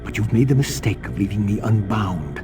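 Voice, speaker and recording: An actor playing a vengeful undead wraith who speaks gravely and with scorn, in long reverb over game music. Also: male voice, lowish voice, expressive, moderate pace, close to an everyday voice. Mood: scornful.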